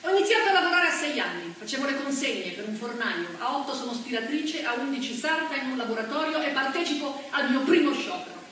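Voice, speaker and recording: Echoey far-off stage sound, a high voiced fierce proud partisan woman, emphatic theatre monologue. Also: expressive, fast, hardly any pauses. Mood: proud, defiant. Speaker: stage actress. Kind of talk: theatrical monologue, dramatic first-person storytelling. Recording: very echoey, distant stage recording, faint noise.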